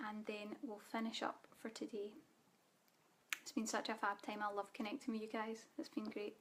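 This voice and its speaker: Soft-spoken Scottish woman, even tone. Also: medium pitch, moderate pace, slight background noise. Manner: soft guided-meditation delivery with fillers. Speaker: spiritual teacher. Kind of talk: live online group training.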